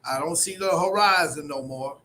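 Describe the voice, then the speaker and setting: Older male host in his 60s, high bright voice, emphatic and folksy, faint hum behind. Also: moderate pace. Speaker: interviewer host. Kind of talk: conversational interview.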